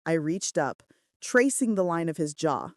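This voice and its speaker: A woman's voice: low voice, expressive, measured pace, dry recording, clean studio sound. A woman reading scripted first-person romance fiction as a story narrator. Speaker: audiobook narrator.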